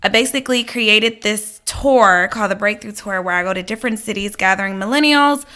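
A woman's voice: medium pitch, a little fast, sharp tone. A woman in her twenties from Atlanta, talking casually as an interview guest. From Atlanta, United States. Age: 20s. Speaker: podcast guest, minister.

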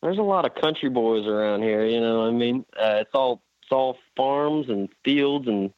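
A man's voice: mid-range voice, expressive, moderate pace, clean tone, dry recording. A young man from Indiana, a guest talking casually and rambling a little over the phone. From Indiana, USA. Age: young adult. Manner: laid-back, rambling with fillers. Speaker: guest rider. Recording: tinny phone line.

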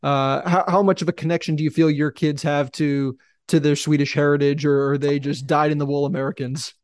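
Male interviewer, slightly high and flat voice, stammering restarts, dry sound. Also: fairly quick, no echo. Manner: stammering restarts before questions. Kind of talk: interview questions.

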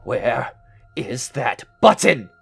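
A man's voice: mid-range voice, big pitch swings, very slow, gravelly, fairly bright tone, slightly rough, faint music behind, full character voice. An actor playing a greedy, paranoid man coming apart in an audio drama, agitated and theatrical. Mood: frantic accusing. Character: greedy, paranoid man unravelling into murderous madness. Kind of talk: audio drama acting with first-person narration.

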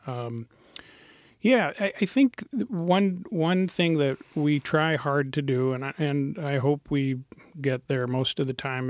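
Middle-aged man, program director type; gravelly, measured, hesitant delivery. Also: medium pitch, no room sound, studio quality. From Montana. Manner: thoughtful, hesitant, many fillers. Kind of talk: interview answers.

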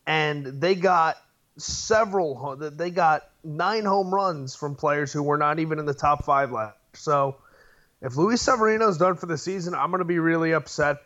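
A man's voice: on the high side, normal speed, pushed and bright, highs cut off. A man co-hosting a sports podcast, talking in an emphatic, opinionated way. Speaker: podcast co-host. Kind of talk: casual sports podcast chat.

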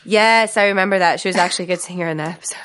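A woman co-hosting a podcast chat while sick, her voice lower than usual, quick with exclamations and self-mocking jokes. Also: a bit bright, smooth. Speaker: podcast co-host.

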